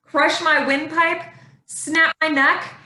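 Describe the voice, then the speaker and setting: An actress playing a fearless young woman vigilante in a staged play reading, mocking and deliberate. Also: high voice, steady tone, sharp tone, heavily acted. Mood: taunting. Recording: roomy, echoing sound.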